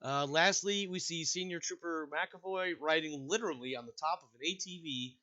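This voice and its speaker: A man's voice: on the high side, moderate pace, sharp tone. Stuffy-nosed man running a tabletop game. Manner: rambling, casual, with frequent restarts. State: stuffy and congested. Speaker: game master. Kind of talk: hosting and narrating a tabletop game. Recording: dull, lo-fi voice chat audio.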